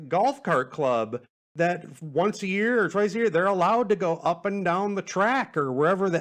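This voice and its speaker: A man with a slightly high voice hosts a solo podcast. He talks casually and wanders from point to point in a folksy way. He sounds amused by his own asides. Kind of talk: casual rambling solo podcast, answering listener questions.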